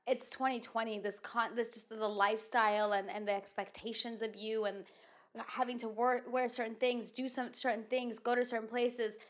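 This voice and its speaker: A woman's voice: a little high, level tone, moderate pace. A woman hosting a podcast in casual chat, with a nasal voice and a rambling, filler-heavy way of talking. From United States. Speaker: podcast host.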